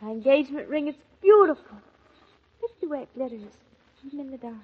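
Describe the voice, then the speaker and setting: A playful young newlywed wife, bright and dreamy, with a slightly high voice. She teases and muses in turn. The old radio transcription sounds dull and muffled. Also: animated, fast talker. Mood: tender. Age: young adult. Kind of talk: 1940s radio acting.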